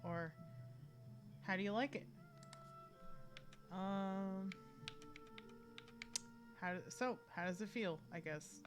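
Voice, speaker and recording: A woman's voice: mid-range voice, very slow, pauses often, music in the background. A woman streaming a game, giving casual, chatty, playful live commentary. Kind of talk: casual live gameplay commentary, reading dialogue choices aloud.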